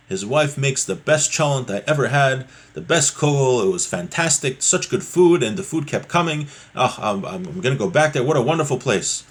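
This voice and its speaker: A man teaches a religious text in an informal lesson, with a mid-pitched voice. He explains each point conversationally, posing short rhetorical questions as he goes.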